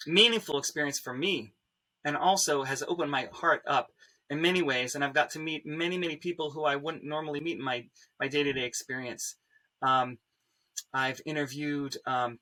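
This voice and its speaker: A man's voice: a little high, bright, forceful tone, poor audio. A man speaking earnestly and reflectively as he introduces his work on a discussion panel. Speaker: panelist, pianist-composer.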